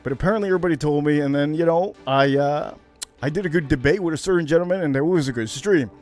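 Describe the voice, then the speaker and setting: A man's voice: fairly high pitch, quick pace, a little mellow, faint music behind. A man hosting a casual livestream, rambling with lots of fillers and greeting viewers by name. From United States. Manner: rambling, many fillers, reads out viewer names. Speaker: livestream host.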